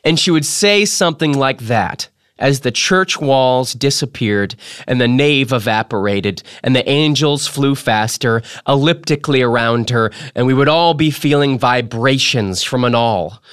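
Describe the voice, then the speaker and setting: A man reading a memoir aloud as a podcast host, in a theatrical, emphatic style with dramatic pauses. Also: mid-range voice, a bit bright, clean tone, no echo, studio quality. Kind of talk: expressive dramatic literary reading.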